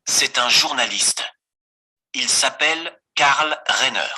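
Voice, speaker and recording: A man voicing a scripted beginner dialogue for a French textbook, slow and clearly articulated. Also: medium pitch, bright, forceful tone. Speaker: voice on course audio.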